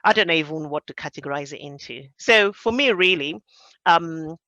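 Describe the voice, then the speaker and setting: A middle-aged Nigerian-accented woman talks in a personal, conversational way on a panel. Her voice has a bright, forceful edge and an emphatic, reflective manner. The sound is dry and close, though a little dull. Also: sits low, expressive, normal speed, no room sound. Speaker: podcast panellist, major projects professional.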